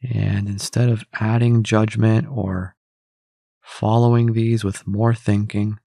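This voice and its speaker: A man leading a guided meditation in a calm, measured, instructional way. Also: on the low side, gravelly, no echo, studio quality.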